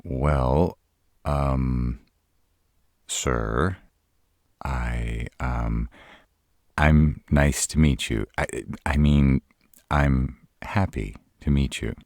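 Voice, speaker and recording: A man's voice: rumbling low, very slow, pauses often, a little mellow, no room sound, studio quality, heavily acted. A man playing a nervous, sarcastic male vampire in a roleplay audio, stammering and hesitant, easily exasperated.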